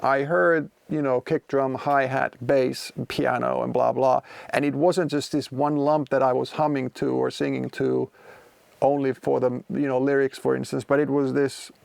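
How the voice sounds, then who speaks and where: A man's voice: medium pitch, flat delivery, normal speed, creaky, darkish tone, dry recording. A man in his forties from Finland, speaking English with a Finnish accent as his second language, chatting casually and rambling with many fillers while streaming from his music studio. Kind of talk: casual livestream chat, answering viewers.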